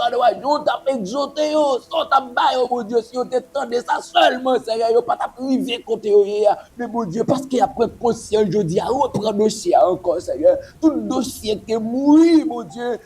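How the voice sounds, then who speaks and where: A man's voice: high-pitched, dark tone. A man leading a pleading, repetitive spoken prayer. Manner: repetitive, pleading, intoning invocations. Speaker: prayer leader.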